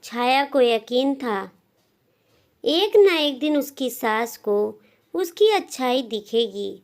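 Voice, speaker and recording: A woman narrating a scripted moral story as a storyteller, in deliberate phrases with frequent pauses. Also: high voice, expressive, darkish tone.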